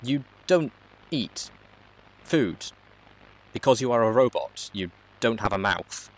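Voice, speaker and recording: A man's voice: mid-range voice, lively intonation, takes it slowly, low-quality recording. A man voicing a young female pony wanderer in a storybook reading, wary and easily alarmed, with questioning, exclaiming delivery. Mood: puzzled.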